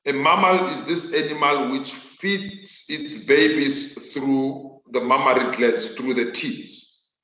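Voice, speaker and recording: A South African man whose mother tongue is Northern Sotho, teaching a virtual class in an emphatic, deliberate way and repeating phrases for effect. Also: medium pitch, bright, forceful tone. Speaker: accounting teacher. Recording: echoey room.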